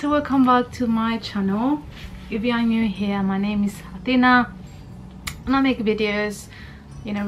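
A woman's voice: a little high, a bit bright, slight background noise. Chatty thirty-year-old woman vlogging from her bedroom. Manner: rambling, chatty, many fillers. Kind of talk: casual chat to camera. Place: bedroom, indoors. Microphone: camera at arm's length.

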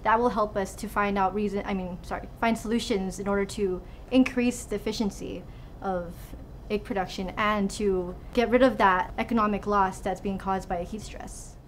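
A woman's voice: medium pitch, fairly even intonation, normal speed, a little hiss or hum. A woman in her twenties from Hawaii, a student researcher giving informal interview answers in a laboratory. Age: 20s. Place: indoors in a laboratory.

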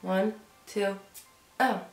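A woman's voice: mid-range voice, fairly even intonation, extremely slow. A young woman beauty vlogger in her twenties chatting casually on camera, stuffy from a cold, and filling her talk with "like". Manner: chatty, lots of "like", giggly. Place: bedroom with decorated shelves. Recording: roomy home room with background music.

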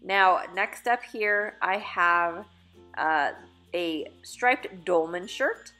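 An upbeat woman in her thirties talks through clothes she is trying on, chatty and casual, with the odd "uh" and self-correction. She records on her phone in a small dressing room. Soft store music plays faintly in the background. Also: fairly low pitch, drawn-out words. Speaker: fashion vlogger. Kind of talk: casual try-on haul commentary. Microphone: phone camera held in mirror.

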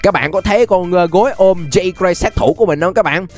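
A playful, chatty young man giving animated gameplay commentary straight to his viewers. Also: sits high, a little fast. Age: young adult. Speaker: gaming video host. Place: indoor gaming setup. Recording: music behind the voice. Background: music.

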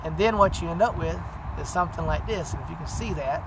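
A man talks casually into a close camera outdoors, showing fishing tricks. He rambles and fills gaps with "you know", his voice sitting a little high. Clear outdoor noise runs under the recording. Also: fast. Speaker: fishing channel host. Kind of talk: casual how-to demonstration. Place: outdoors by a pine wood. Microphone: camera close at arm's length. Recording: noisy outdoor camera audio.

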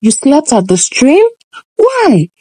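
A woman's voice: high-pitched, wildly swooping pitch, slow, mellow, dry recording, voice pushed up, full character voice. A woman doing an exasperated scolding mother. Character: scolding village mother and queen.

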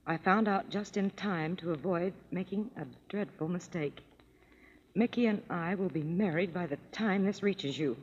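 An actress playing a young woman reading her farewell letter softly in a 1930s radio drama. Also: fairly low pitch, normal speed, a little hiss or hum, close to an everyday voice. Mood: wistful. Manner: soft, distant letter reading. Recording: faint, muffled old radio with hall reverb.

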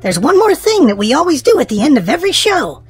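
Female children's story host, extremely high voice, hugely varied sing-song delivery, music underneath. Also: big pitch swings, fast talker. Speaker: host and reader of a children's read-aloud show. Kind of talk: animated children's storybook narration.

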